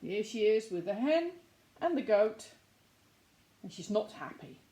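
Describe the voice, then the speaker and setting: A woman reading a picture book aloud to young children in an expressive way, with chatty explaining asides. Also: medium pitch, measured pace, slight background noise.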